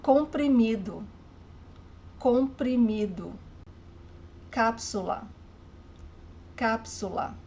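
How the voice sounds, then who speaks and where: A woman with a Brazilian accent runs a Portuguese lesson at a slow, deliberate pace. Her voice sits somewhat high, and she says each word carefully and then repeats it. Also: darkish tone, a little hiss or hum. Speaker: Portuguese teacher.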